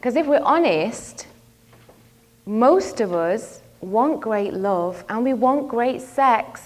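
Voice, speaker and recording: A woman's voice: on the high side, lively intonation, slow pace. A woman relationship coach giving a motivational talk to an audience, telling a personal story and asking the crowd questions. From not from the local area; lives in London.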